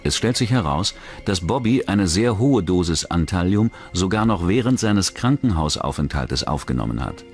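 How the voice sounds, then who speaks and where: A man narrating a scripted true-crime documentary in a measured, matter-of-fact manner. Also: low-pitched, very animated, soft background music.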